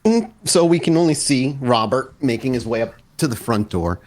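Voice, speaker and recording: A man narrating a tabletop roleplaying game as storyteller, describing scenes off the cuff with pauses. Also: medium pitch, expressive, a little mellow.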